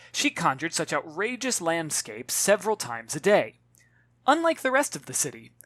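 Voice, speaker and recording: A man reading his own novel as an expressive audiobook, with dramatic, measured storytelling. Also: a little high, no echo. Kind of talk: expressive scripted audiobook narration.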